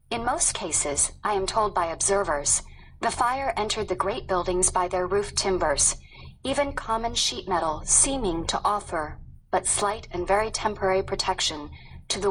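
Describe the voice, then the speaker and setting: A woman's voice: mid-range voice, fairly bright tone, low-quality recording. Woman narrating formally over background noise. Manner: formal, measured. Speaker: textbook narrator. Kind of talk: scripted audiobook narration.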